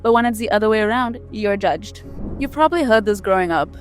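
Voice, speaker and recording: A woman's voice: mid-range voice, lively intonation, fast talker. A woman raised in Canada, from an Indian immigrant background, narrating a scripted explainer vlog as its host. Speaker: video host. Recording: voice over background music. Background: music.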